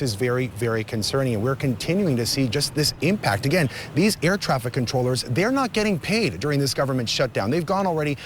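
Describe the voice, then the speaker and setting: A man's voice: mid-range voice, a little hiss or hum. A man reporting live for TV news from outdoors, with an emphatic, formal delivery. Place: outdoors on a city street at evening.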